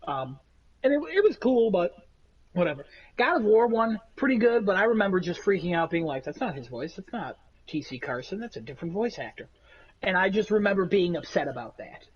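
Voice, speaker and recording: A man with a nasal voice, rambling through animated stories in a casual chat among friends. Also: sits high, fairly quick, lo-fi sound. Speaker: podcast co-host.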